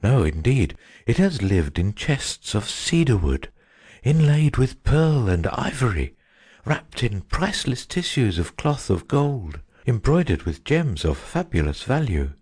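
A low, husky, pompous voice for a vain old magical Phoenix, as a woman puts him on. He speaks grandly and tartly, swooping up and down in pitch. The storybook sound is close and dry. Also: very animated, a little slow, mellow, no echo, heavily acted. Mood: grandly proud. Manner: pompous, old-fashioned, tart.

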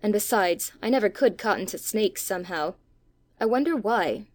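A man's voice: sits high, lively intonation, no room sound, clean studio sound, pitched above the performer's own voice. A man voicing a young boy in a storybook who speaks hastily. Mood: hasty. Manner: hasty, quick delivery. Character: young boy, quick and hasty.

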